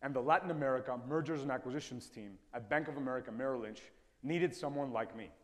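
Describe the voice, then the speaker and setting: Man, 20s, native Spanish speaker, reflective talk, mid-pitched, long hall reverb. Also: fast talker. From Ecuador. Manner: earnest, reflective storytelling. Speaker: TEDx speaker. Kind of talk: personal storytelling talk. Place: stage in a lecture hall.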